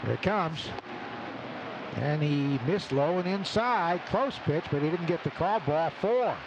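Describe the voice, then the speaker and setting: Higher-voiced man calling baseball over loud noise. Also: measured pace. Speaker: baseball play-by-play announcer. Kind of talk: live radio sports commentary. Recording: noisy outdoor ballpark radio broadcast. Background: steady ballpark noise.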